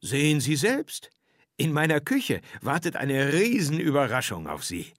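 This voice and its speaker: A man's voice: fairly high pitch, wildly swooping pitch, measured pace, no room sound, clean studio sound, voice pushed up, heavily acted. A man voicing a lonely, plain-spoken man from an apartment house in a storybook reading, with a questioning manner. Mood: eager, inviting.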